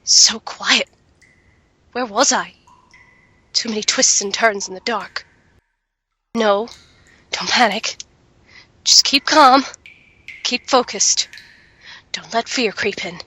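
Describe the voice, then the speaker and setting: A woman acts out a determined alchemist in a fantasy audio drama. Her voice is high, husky and forceful, with a tense, sharp-tongued urgency. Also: slow pace, long pauses, full character voice. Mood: tense, anxious.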